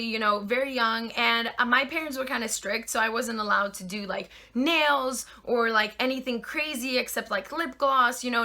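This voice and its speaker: A woman's voice: fairly high pitch, pushed and bright. A woman in her twenties from Poland with a slight Polish accent, chatting casually as she answers viewer questions in her vlog. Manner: chatty, with many "like" and "you know" fillers.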